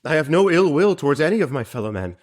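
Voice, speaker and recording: Earnest young sailor. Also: male voice, fairly high pitch, sing-song, quick pace. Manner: earnest, polite, openly trusting. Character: earnest, innocent nineteen-year-old merchant sailor under arrest. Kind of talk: audio drama acting.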